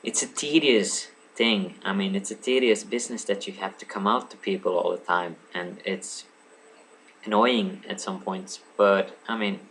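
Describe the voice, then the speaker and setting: A man's voice: sits low, steady tone, normal speed, fairly bright tone, slight background noise, poor audio. A young man in his late teens, a native Swedish speaker, rambling casually to a webcam at home, full of ums, asides and apologies. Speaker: vlogger. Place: home room lit by a lamp.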